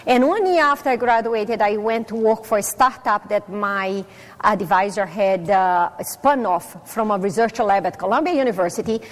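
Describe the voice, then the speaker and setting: A woman's voice: mid-range voice, moderate pace. A middle-aged woman from Brazil with a Brazilian Portuguese accent, a native Portuguese speaker, giving a chatty, self-deprecating conference talk with many fillers. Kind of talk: personal talk to a live audience. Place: conference hall. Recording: long reverb of a hall.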